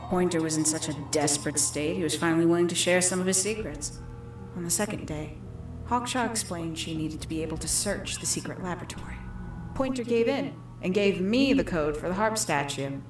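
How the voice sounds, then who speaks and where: Actress as scheming young female game villain: lowish voice, deliberate storytelling, echoey, music clearly behind. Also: natural voice. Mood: sly, composed. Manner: measured, deliberate, theatrical storytelling. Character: calculating young woman villain confessing her schemes. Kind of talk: scripted video game character monologue.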